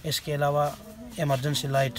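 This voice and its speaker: A man's voice: fairly high pitch, monotone, normal speed. A man, casually showing goods and giving prices as a shopkeeper. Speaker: shopkeeper, seller. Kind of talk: casual product showing and pricing. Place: small cluttered market shop indoors. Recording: busy market shop, background chatter.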